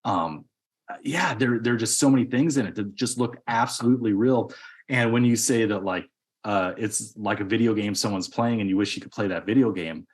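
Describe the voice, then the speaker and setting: Male, lower register, casual review-show co-host who acts out quotes while storytelling. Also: moderate pace. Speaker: podcast co-host. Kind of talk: casual movie review chat.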